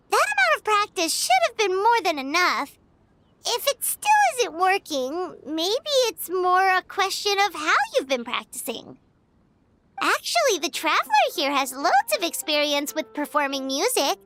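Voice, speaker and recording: A tiny, squeaky fairy sidekick for a video game. The voice is extremely high and bright, swooping up and down, and she is perky, bossy and chatty like an excitable child. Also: a little slow, a little hiss or hum, heavily acted. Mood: helpful, boastful.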